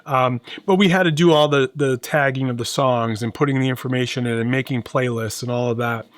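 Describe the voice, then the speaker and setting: A middle-aged man talks through a gadget review in a casual, measured way. His voice sits at a medium pitch and addresses the viewer directly. The sound is dry, with very little room on it. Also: steady tone, no echo. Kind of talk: casual conversational product review.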